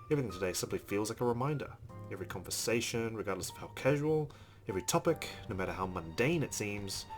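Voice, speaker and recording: A man reading a visual novel aloud as dramatic narration for a let's-play. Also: on the low side.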